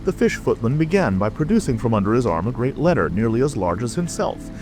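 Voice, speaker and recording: A man's voice: medium pitch, very animated, fast talker, over music. A man narrating a classic storybook as a scripted audiobook.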